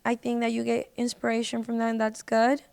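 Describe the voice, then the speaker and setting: Higher-pitched woman with creaky, flat delivery. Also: brisk, dry recording. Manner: informal, slangy. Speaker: podcast guest, singer and social media creator. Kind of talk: casual podcast chat.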